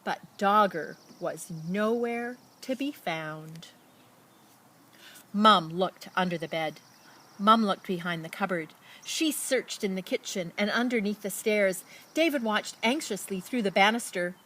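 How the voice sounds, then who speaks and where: A woman with a medium-pitched voice reads a picture book for children. She tells it expressively, leaning on key words and pausing for drama, and slips in casual comments as she goes. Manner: expressive, dramatic emphasis and pauses. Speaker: storybook reader.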